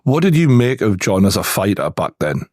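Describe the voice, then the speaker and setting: Chatty Geordie host, lowish voice. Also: male voice, sing-song, fairly quick, a little mellow, no room sound, studio quality. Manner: loose, filler-heavy questions. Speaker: podcast host. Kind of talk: casual interview chat.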